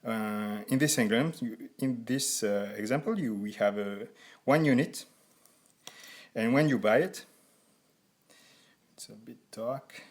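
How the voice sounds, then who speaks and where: A man in his forties with a French accent, haltingly explaining a board game in non-native English as a reviewer. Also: medium pitch. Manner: halting, deliberately slow speech for learners.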